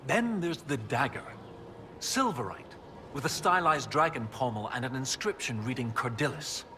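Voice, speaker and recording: An animated, bookish professor. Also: male voice, mid-range voice, moderate pace, slight background noise. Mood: absorbed, explanatory. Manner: pedantic, eager explaining with puns. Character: enthusiastic, bookish male research professor and archaeologist. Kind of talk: video game dialogue acting.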